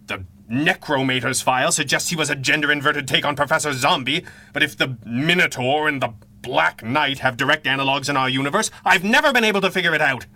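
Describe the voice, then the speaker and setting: A man's voice: fairly high pitch, fairly quick, pushed and bright. A man playing a guilt-ridden masked crime fighter in a 1930s-style radio adventure, speaking with formal, earnest, dramatic diction. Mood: anguished, urgent.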